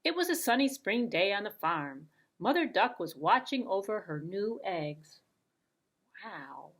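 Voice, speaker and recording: Animated, unhurried woman storyteller. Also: mid-range voice, husky. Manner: expressive, deliberate, with playful sound words. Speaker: children's storyteller and puppeteer.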